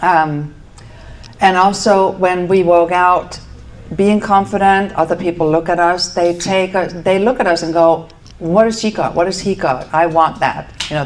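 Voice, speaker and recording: A woman's voice: on the low side, steady tone, normal speed. A middle-aged woman from Denmark with a Danish accent, giving a chatty talk to a live audience at an event venue, with fillers and self-corrections. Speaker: speaker. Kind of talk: casual presentation to a live audience.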